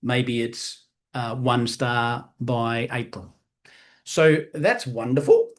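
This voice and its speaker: Animated Australian man in his fifties. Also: mid-range voice, slow pace. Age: 50s. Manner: animated, peppers talk with "right?". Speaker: sales trainer. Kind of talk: motivational training talk.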